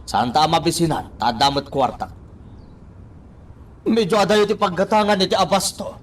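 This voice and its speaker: A man's voice: fairly high pitch, normal speed, close to an everyday voice. A man in a radio drama playing a firm, reassuring figure who takes charge, commanding yet soothing.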